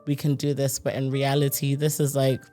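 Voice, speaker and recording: A woman's voice: extremely low pitch, completely flat delivery, a little fast, dark tone, faint music behind. A woman from the UK with a British accent, talking frankly and conversationally on a podcast, with plenty of casual fillers. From United Kingdom.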